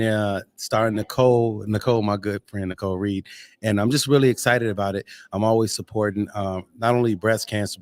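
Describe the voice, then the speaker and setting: An American man chatting casually on a live show as a host, earnest and encouraging in how he talks. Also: fairly low pitch. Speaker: podcast host and actor.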